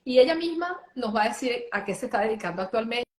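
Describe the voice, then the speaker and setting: A woman's voice: a little high, animated, quick pace. Upbeat Venezuelan woman hosting a live show. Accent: Venezuelan Spanish. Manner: upbeat, welcoming. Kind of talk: live online interview show. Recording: roomy echo, faint background noise.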